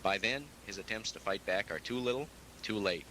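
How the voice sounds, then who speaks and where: A man's voice: fairly low pitch, fairly quick, pushed and bright, a little hiss or hum. A man reading a scripted book review over repeated takes, breaking off into jokey, self-mocking asides. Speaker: book reviewer recording narration.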